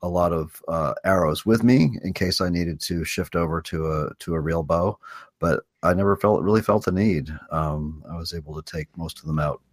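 A man with a deep, husky voice chats casually on a gaming podcast. The sound is dry and close, and he speaks haltingly, doubling back on words as he describes his play. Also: dry recording. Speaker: podcast roundtable panelist. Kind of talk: casual chat about gameplay.

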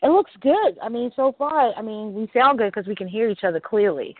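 Husky host on a tinny call line. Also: medium pitch, fairly quick, dry recording. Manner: loose and excitable, swearing, lots of fillers. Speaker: podcast host. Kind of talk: casual call chat. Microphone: call audio. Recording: tinny phone-like call audio, dry.

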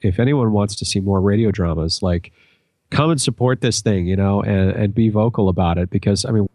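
A man's voice: lowish voice, brisk, darkish tone, dry recording, studio quality. A man speaking as a podcast guest in casual conversation, explaining things in an earnest way.

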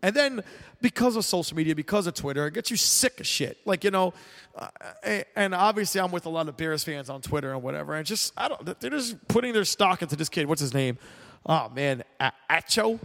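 A man hosting a casual sports talk show, sounding congested, rambling and animated as he mocks sports fans. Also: a little high, fairly quick, creaky, in a large hall. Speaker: podcast host. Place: air-conditioned room at home. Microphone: headphones with mic.

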